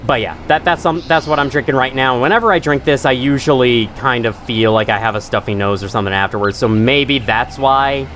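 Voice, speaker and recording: A young man with a stuffy nose, rambling and swearing casually through relaxed gameplay commentary. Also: medium pitch, brisk, hardly any pauses, slight background noise. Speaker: gaming channel host. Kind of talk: casual let's-play commentary.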